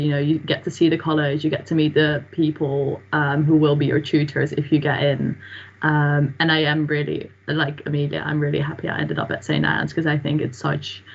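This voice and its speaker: A young woman from the Netherlands, a student, chatting with many fillers on a video call from a room at home. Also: deep voice. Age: young adult. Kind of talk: casual Q&A answers on video call. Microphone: laptop webcam mic on video call. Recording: dull lo-fi video call audio.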